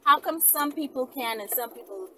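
A woman's voice: high voice, animated, normal speed, pushed and bright. A woman in her thirties chatting casually and unscripted to viewers, musing aloud in a rambling way with rhetorical questions. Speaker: vlogger, channel host. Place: inside a car by a street, daytime. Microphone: camera at arm's length. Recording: distant mic, noisy car interior.

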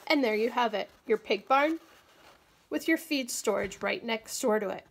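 A woman educator giving a clear, patient, step-by-step craft demonstration at a table indoors. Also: on the high side, lively intonation, moderate pace. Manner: clear, patient instructional delivery. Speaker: agriculture educator. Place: small indoor room, table-top demo.

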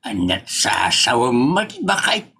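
A man's voice: medium pitch, very animated, measured pace, sharp tone, slightly rough, exaggerated voice. A man playing a defensive, flustered old village father in a comedy drama, protesting with exclamations in an Ilocano accent.

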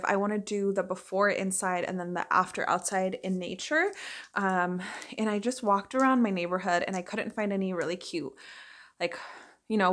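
A woman's voice: medium pitch, a bit bright. A young adult woman talking in a chatty, informal way, as in a casual first-person vlog to camera. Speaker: vlogger and working actor.

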